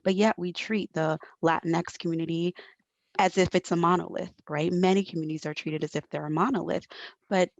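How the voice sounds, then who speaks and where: A woman's voice: lowish voice, steady tone, fairly quick, vocal fry, no echo, dull audio. A woman from Barbados speaking as an expert panelist, articulate and emphatic, repeating phrases for weight. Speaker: panelist, mental health professional. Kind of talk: panel discussion, explanatory advocacy.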